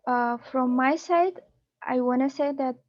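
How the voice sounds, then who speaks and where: A young Kazakh woman speaking English with a Kazakh accent, pausing often to find words as she answers questions on a video call. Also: high-pitched, dry recording, highs cut off. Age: young adult. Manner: halting, searching for English words. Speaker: PhD student presenter.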